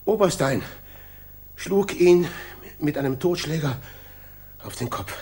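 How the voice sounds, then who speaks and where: An actor in a German radio drama playing a cornered, fearful colonel confessing as a traitor, halting under pressure. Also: male voice, fairly high pitch, expressive, a little mellow, slightly rough, heavily acted. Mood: tense confession. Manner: halting, stammering when pressed.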